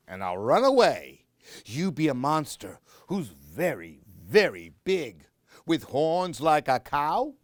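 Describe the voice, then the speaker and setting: A woman's voice: deep voice, dry recording, studio quality, pitched above the performer's own voice, exaggerated voice. A woman reading aloud as a playful three-year-old boy, chanting bouncy rhymes. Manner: sing-song, bouncy rhymes. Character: playful little boy pretending, aged three.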